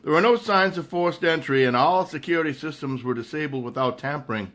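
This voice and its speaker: A man plays a wealthy, self-assured sleuth in a radio play. The speech is measured, courteous and precise, in a slightly high register. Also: fairly bright tone, lightly acted. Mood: composed. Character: suave, confident wealthy male amateur sleuth. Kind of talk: radio mystery acting.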